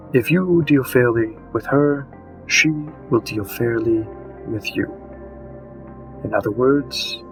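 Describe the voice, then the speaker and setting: A man playing a courteous monster matchmaking presenter in a scripted audio roleplay, speaking in a measured, formal and deliberate manner. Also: on the low side.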